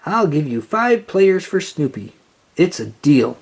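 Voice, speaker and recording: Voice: mid-range voice, sing-song, a little slow, darkish tone, husky, heavily acted. A narrator voicing a blunt, confident tomboy girl in a children's storybook, with a breezy manner. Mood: pleased.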